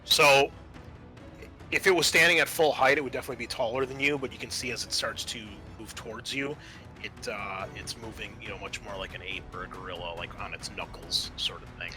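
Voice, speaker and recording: A man narrating as game master of a tabletop roleplaying game, explaining scenes and rulings with thoughtful pauses. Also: on the low side, fairly even intonation. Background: music.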